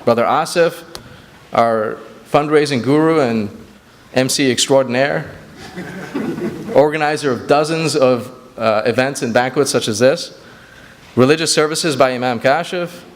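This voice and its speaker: Man, grew up in Sri Lanka, earnest speech at a community banquet, mid-pitched, long hall reverb. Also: unhurried, slight background noise. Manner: earnest, with frequent fillers and restarts. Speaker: executive director.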